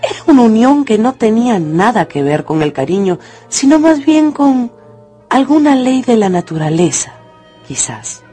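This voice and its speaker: A man's voice: very high-pitched, very animated, moderate pace, warm tone, slightly rough, soft background music. A man narrating a radio novel in a scripted, dramatic storytelling style.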